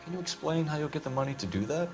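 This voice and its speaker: A man's voice: on the high side, animated, rapid-fire, soft background music. An American man playing an earnest, by-the-book 1940s police detective in a video game, formal and insistent. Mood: stern, probing. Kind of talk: dramatic video game acting.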